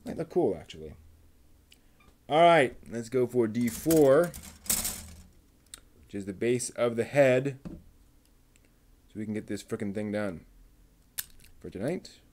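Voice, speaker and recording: A man's voice: mid-range voice, expressive, takes it slowly, pauses often, a little mellow, a little hiss or hum. A man building a model kit on a live stream, muttering to himself and chatting casually with viewers as he works.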